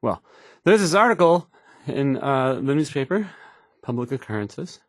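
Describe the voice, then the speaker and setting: Nervous young game-character DJ, stuttering, self-doubting, trailing off, dry close sound. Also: male voice, mid-range voice, big pitch swings, unhurried, dry recording. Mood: flustered, unsure. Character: painfully shy, nervous, awkward male radio DJ. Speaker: radio DJ. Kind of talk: video game character acting.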